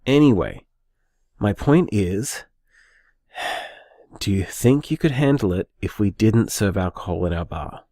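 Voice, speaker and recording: A man acts out an affectionate, anxious boyfriend in an audio drama. The voice is lively in pitch and recorded dry and close, with earnest, hesitant, informal phrasing. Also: takes it slowly, long pauses, dry recording, full character voice. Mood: tentative. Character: anxious, affectionate, conscientious boyfriend planning a bar. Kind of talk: naturalistic audio drama monologue.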